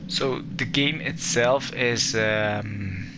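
A man's voice: fairly low pitch, extremely slow, noisy background. A young Belgian man with a Flemish accent and Dutch as his first language, giving hesitant, apologetic let's-play commentary in English. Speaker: gaming YouTuber. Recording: game music playing underneath.

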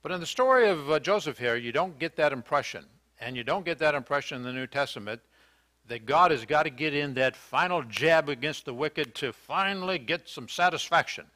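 A man's voice: a little high, expressive, fairly quick, pushed and bright. An older American man preaching a sermon in a church, earnest and questioning, with hesitant fillers and restarts. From United States. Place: church sanctuary, indoors.

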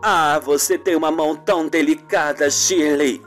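A man's voice: a little high, fairly even intonation, moderate pace, soft background music, voice pushed down, close to an everyday voice. A man voicing a weary, affectionate female police investigator in an amateur radio soap opera. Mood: affectionate, teasing.

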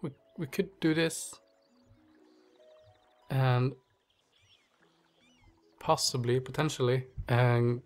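A man's voice: mid-range voice, faint music behind. Sniffly man thinking aloud over gameplay. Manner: halting, thinking aloud with many fillers. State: stuffy nose, strained voice. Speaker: gaming channel host. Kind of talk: casual gameplay commentary.

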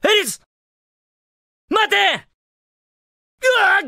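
A man's voice: extremely high pitch, animated, drawn-out words, creaky, bright, forceful tone, no echo, clean studio sound, heavily acted. A man voicing a cocky, upbeat, heroic young hedgehog in a Japanese video game, with a confident, casual, brash delivery. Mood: urgent, shouting. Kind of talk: Japanese video game voice acting, short clips.

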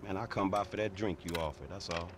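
A man's voice: sits low, fast talker, lightly acted. An actor playing a reluctant, streetwise young man who talks in casual slang, in a game cutscene. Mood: exasperated. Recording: game audio with background noise.

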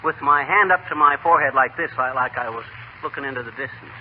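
An actor playing a boastful, blustering American husband, pompous and folksy, in 1940s radio comedy style. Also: male voice, medium pitch, fast talker, close to an everyday voice. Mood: self-important. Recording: tinny, noisy 1940 radio broadcast recording.

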